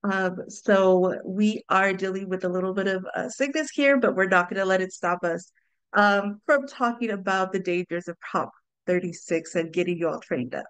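A woman with a smooth, resonant voice talks on a video call, close and dry. She is sick with a cold and sounds a little under the weather, but she speaks briskly and with determination. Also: medium pitch, no room sound, poor audio. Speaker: policy director.

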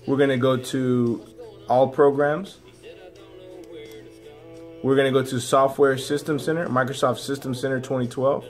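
A man's voice: medium pitch, level tone, a little slow, long pauses, soft background music. A middle-aged man, a teacher, walking fellow staff through a computer program in a classroom, talking upbeat and folksy as he narrates each click. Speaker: teacher leading a staff tech demo. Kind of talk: casual classroom screen demo.

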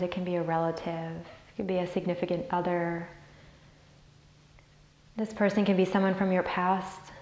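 Woman doing a guided meditation, kinda low voice, soothing n deliberate, roomy echo on it. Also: darkish tone. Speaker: meditation teacher. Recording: roomy, echoey sound.

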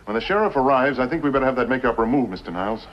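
An American actor playing a composed, suspicious Old West gentleman with formal, courteous speech in a 1950s television western. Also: mid-range voice, animated, very fast, natural voice. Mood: composed, accusing. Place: indoor studio office set. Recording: dull, noisy vintage TV soundtrack.

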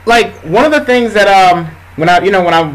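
A man hosting a casual interview who rambles excitedly with many fillers. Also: high-pitched, fairly quick, rough recording. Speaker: interview host.

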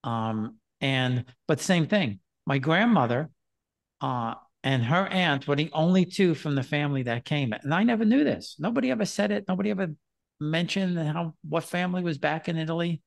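Older male host, unhurried and hesitant, speaking through a headset on a video call. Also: on the high side, expressive, creaky. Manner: rambling, hesitant with many "um" and "uh". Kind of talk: casual conversation.

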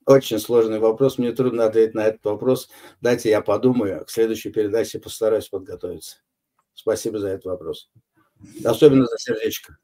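Slow, measured older man. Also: on the low side, steady tone, warm tone. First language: Azerbaijani. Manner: measured, unhurried, frequent hesitations. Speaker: interview guest. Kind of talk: recorded online interview answers.

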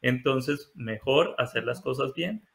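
Give me a slow-talking man in his forties from Colombia, hesitant and full of restarts, on a webcam call at home. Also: medium pitch, vocal fry, a bit bright. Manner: hesitant, many fillers and restarts. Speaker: interviewed mechanical engineer. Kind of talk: video call interview. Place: living room at home. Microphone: laptop webcam video call.

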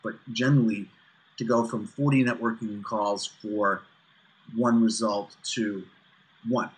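Low, husky middle-aged man, bright edge. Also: low-quality recording. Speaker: guest, author and executive coach. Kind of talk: interview answers on business networking. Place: small furnished room indoors, on a video call. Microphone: video call, computer at desk distance.

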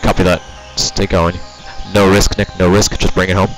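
A man working as a race engineer, giving calm, brief instructions over team radio. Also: low voice, moderate pace, low-quality recording. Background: music, car noise.

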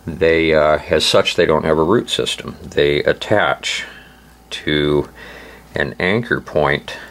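An extremely low-pitched male voice, hoarse as if recovering from laryngitis. He explains things informally, like a hobbyist host, at a slow pace. His delivery is halting, with many pauses between short phrases. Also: fairly bright tone. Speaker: host of a survival channel. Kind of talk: informal instructional narration.

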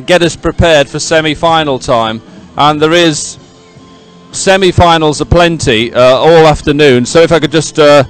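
A man's voice: on the high side, normal speed, soft background music. A middle-aged man presenting live outdoors at a sports event on a handheld mic, chatty and rambling, often repeating himself as he fills time. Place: outdoors by a regatta podium. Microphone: handheld microphone.

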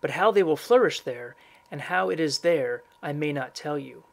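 A man's voice: fairly high pitch, expressive, moderate pace, gravelly. A grave storybook reaper, played by a male narrator, stern and formal. He makes firm declarations in a slightly raised male voice, as the gardener of human lives.

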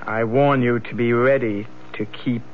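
An actor playing a sinister, soothing bargain-maker in a 1970s radio drama, deliberate and commanding. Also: male voice, mid-range voice, steady tone, a little hiss or hum, lightly acted. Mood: firm, warning. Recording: dull lo-fi old radio broadcast. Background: faint music.

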